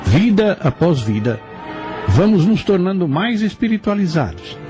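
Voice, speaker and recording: A man giving solemn, oratorical scripted narration for a radio drama. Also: mid-range voice.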